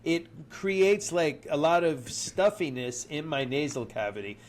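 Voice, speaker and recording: A man co-hosting a podcast in casual banter, with a nasal, stuffed-up voice, chatty and quick to laugh. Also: fairly high pitch, lively intonation. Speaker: podcast co-host.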